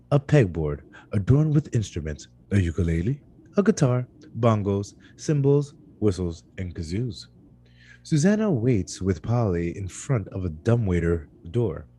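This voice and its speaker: A man narrating screenplay stage directions at a live table read, with a husky voice and occasional stumbles that he corrects. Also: low-pitched, mellow. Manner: stumbles over words and corrects himself.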